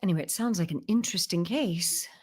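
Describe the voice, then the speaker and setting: A woman playing a playful, curious, sharp-witted wife who coaxes and teases in a vintage radio drama. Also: on the low side, fast, husky. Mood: coaxing. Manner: coaxing, inquisitive, teasing.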